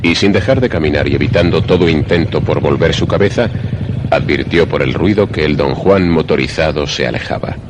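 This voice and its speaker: A man narrating a scripted suspense radio drama in a measured, literary, theatrical manner. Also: a bass voice, background noise.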